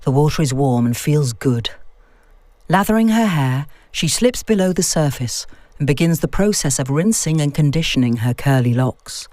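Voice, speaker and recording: A woman narrates a scripted story in a measured, expressive way. Her pitch moves freely and keeps the reading animated. The recording is very dry and close, with no sense of a room. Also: no echo. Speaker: audiobook narrator.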